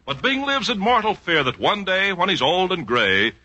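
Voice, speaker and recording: A man's voice: high voice, very animated, moderate pace, pushed and bright, lo-fi sound. A man announcing on 1940s radio in a punchy, theatrical manner. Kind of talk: 1940s radio narration and ad copy.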